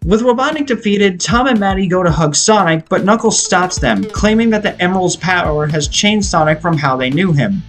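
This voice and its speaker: A man's voice: high-pitched, expressive, moderate pace, few pauses, a bit bright. A man delivering a scripted film review as an earnest, opinionated fan, speaking as a video essayist. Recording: music playing behind the voice. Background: guitar rock music.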